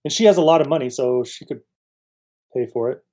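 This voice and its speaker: A man's voice: mid-range voice, fairly quick, mellow. A man co-hosting a casual chat show, with a dry, joking way of talking. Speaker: podcast co-host. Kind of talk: casual chat reviewing old radio serials.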